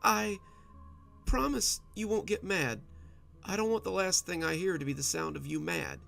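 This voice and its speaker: Tearful young wife, high and falsely sweet, voice breaking, read by a man. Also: moderate pace, creaky, a bit bright, soft background music, full character voice. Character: frightened young wife holding back tears.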